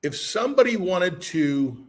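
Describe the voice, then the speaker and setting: A man with a raspy voice hosting a podcast interview, encouraging and motivational, rambling a little as he asks his questions. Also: high voice, big pitch swings, a little slow. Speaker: podcast host.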